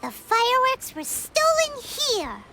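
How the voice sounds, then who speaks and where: A woman voicing a tiny, excitable childlike companion in a video game, with a squeaky, exclaiming delivery. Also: measured pace, loud music behind the voice, full character voice. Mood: curious.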